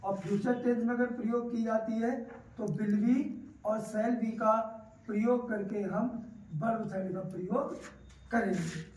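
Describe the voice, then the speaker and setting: High-voiced North Indian male teacher, echoey room. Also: fairly even intonation, measured pace, a little mellow. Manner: didactic, repeats key phrases for emphasis. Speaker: English grammar teacher. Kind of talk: classroom grammar lesson, explanatory. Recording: roomy echo, distant mic, faint noise.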